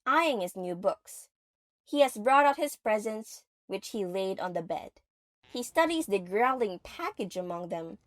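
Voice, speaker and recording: A woman's voice: fairly high pitch, a little hiss or hum. A woman reading scripted, dramatic third-person narration for an audio play.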